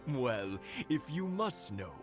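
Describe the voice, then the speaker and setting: An actor playing a genial, teasing elderly village husband in a video game, talking in a courteous storyteller's way. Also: male voice, medium pitch.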